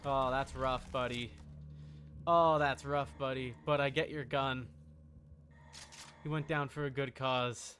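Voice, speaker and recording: Bright-voiced man streaming a game. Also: medium pitch, fairly even intonation, takes it slowly, long pauses, a little hiss or hum. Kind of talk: casual live gameplay commentary.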